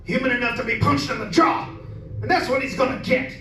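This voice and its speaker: A man playing a high, blustering stubborn father. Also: fairly quick, over music. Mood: defiant, blustering. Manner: brash, barking orders. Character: blustering stubborn skeptical father, ready to punch. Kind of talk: old-time radio drama acting. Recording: distant, echoey room with background noise.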